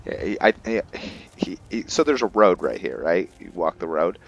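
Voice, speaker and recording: A man giving casual, improvised game commentary in a halting, think-aloud manner as he narrates a roleplay playthrough. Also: sits low, animated, gravelly, dull audio. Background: background game music.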